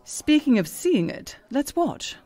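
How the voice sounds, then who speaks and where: A woman's voice: sits high, very animated, slow, dark tone, full character voice. An actress playing a self-assured, all-knowing female schemer in a comedic audio drama. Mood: dry.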